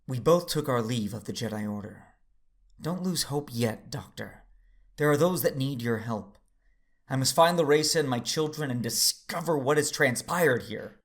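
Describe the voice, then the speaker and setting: An actor playing a steady, weary Jedi father who narrates his own story in an earnest, measured audio drama style. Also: medium pitch, close to an everyday voice. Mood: somber, reassuring. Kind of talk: first-person dramatic narration and acting.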